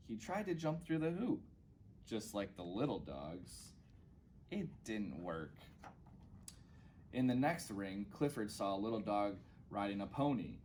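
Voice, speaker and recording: A man's voice: lowish voice, a little mellow, a little hiss or hum. A man reading a children's storybook aloud as a guest reader.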